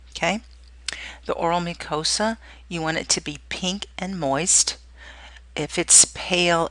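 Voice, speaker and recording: Lady teaching a clinical skills lesson, gravelly edge, dry close recording. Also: low voice, sharp tone, dry recording. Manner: explanatory, checks in with "okay". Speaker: nursing or clinical skills instructor. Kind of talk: instructional lecture.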